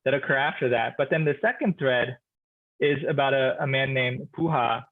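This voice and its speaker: A man talks through a video call, his gravelly voice squeezed into narrow, tinny audio. He speaks like a literature professor, thoughtful and hesitant, restarting his sentences as he works out each thought. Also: mid-range voice, moderate pace. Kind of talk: casual expert discussion.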